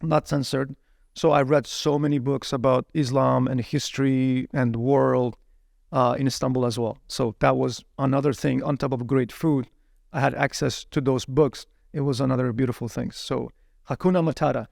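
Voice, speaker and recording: A man whose first language is Chinese, telling his story as a guest in a casual interview. He is lively and laughs often, with plenty of "you know" fillers. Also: medium pitch, normal speed, gravelly, dry recording, studio quality.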